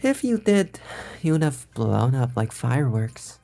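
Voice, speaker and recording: A woman playing a sly, cocky turncoat schemer in a visual novel game, with smug, teasing, drawn-out delivery. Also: rumbling low, sing-song, creaky, dark tone, soft background music, heavily acted. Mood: regretful, firm.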